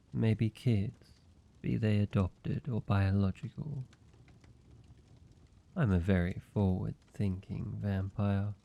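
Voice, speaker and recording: A man playing a slow, creaky-voiced teasing vampire. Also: fairly low pitch, warm tone, full character voice. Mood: gentle, curious. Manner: playful mock-menacing, teasing, drawn-out delivery. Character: teasing, ancient male vampire, courteous and flirtatious. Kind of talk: scripted roleplay audio drama.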